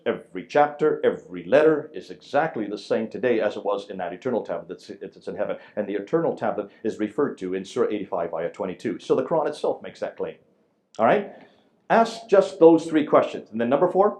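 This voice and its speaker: A man giving an emphatic lecture to a church audience, with a very loud voice, firing rhetorical questions at his listeners. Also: on the low side, animated, a little fast.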